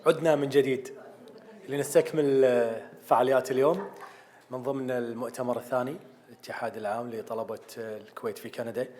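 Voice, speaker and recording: A man hosting a student conference, announcing the next speakers in a loud, quick way with fillers. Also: mid-range voice, fairly even intonation. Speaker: conference emcee. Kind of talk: event hosting. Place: conference hall.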